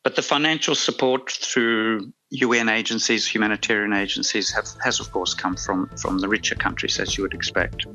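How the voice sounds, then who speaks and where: Male diplomat guest, low voice, forceful bright tone, deliberate, stop-start delivery. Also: fairly even intonation, faint music behind. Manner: deliberate, hedging, with many restarts and fillers. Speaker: former ambassador and academic, guest. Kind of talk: expert commentary in an interview. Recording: dull lo-fi line.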